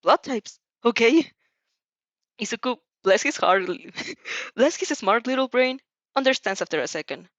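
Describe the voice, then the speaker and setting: A woman's voice: on the high side, expressive, moderate pace, fairly bright tone, dry recording. Woman reading dramatically, dull dry recording. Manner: emotive, stumbles over lines. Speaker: amateur fan fiction narrator. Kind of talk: expressive dramatic story reading.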